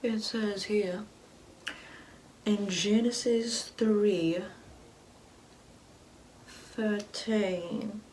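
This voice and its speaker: A woman playing a sanctimonious, Bible-quoting Karen mother in an ASMR comedy roleplay, condescending and preachy, often dropping into a breathy whisper. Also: mid-range voice, steady tone, drawn-out words, long pauses, a little mellow, slight background noise. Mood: smug, fussy.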